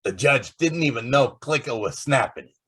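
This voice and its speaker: A man's voice: mid-range voice, animated, moderate pace, fairly bright tone, slightly rough. A man playing a keen, breezy, emphatic star reporter in an old-time radio drama.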